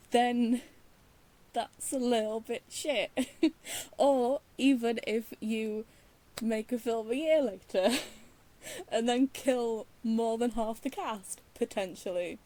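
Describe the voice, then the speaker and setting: A woman's voice: on the low side, dark tone, a little hiss or hum, low-quality recording. A woman with a British accent giving casual, opinionated, wry commentary as she plays a game. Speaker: game streamer.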